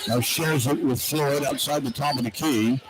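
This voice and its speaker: A man's voice: medium pitch, moderate pace. A man doing live basketball play-by-play on the radio in clipped, running description. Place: basketball arena broadcast position. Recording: very noisy arena radio broadcast. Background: loud arena ambience.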